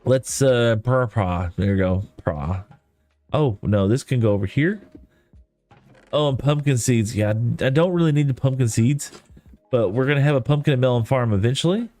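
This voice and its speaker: A man's voice: mid-range voice, normal speed. Rambling Texan man chatting on a stream. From East Texas. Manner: rambling, self-deprecating, folksy. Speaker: livestreamer. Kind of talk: casual gaming stream chat. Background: soft lofi music.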